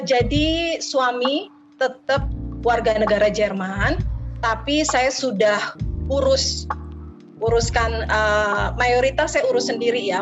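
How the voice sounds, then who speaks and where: Middle-aged woman, Betawi-tinged Jakarta accent, lecturer guest, measured with pauses, slightly high voice, music bed. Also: highs cut off. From Jakarta, Indonesia. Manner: measured, many hesitations with eh. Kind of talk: podcast interview answers. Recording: music playing underneath.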